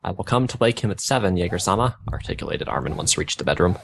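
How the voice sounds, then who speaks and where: A man's voice: low voice, animated, fast, creaky, a little hiss or hum. A young man reading a story aloud, stumbling over garbled wording and breaking off with bemused asides. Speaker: fan fiction reader on a gaming video.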